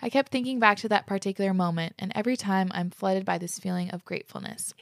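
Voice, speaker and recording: A woman's voice: mid-range voice, fast talker, no echo, studio quality. A young woman hosting a podcast, reading listener stories aloud and reacting to them casually, with frequent "like" fillers and the odd swear word. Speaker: podcast host.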